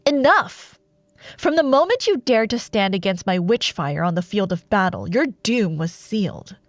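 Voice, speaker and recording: A woman playing a seductive, ruthless female dark warlord in a fantasy audio roleplay, speaking deliberately with silky menace and breathy murmurs. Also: mid-range voice, very animated, no room sound, clean studio sound, close to an everyday voice. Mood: angry, commanding. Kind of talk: dramatic fantasy audio roleplay monologue, ASMR.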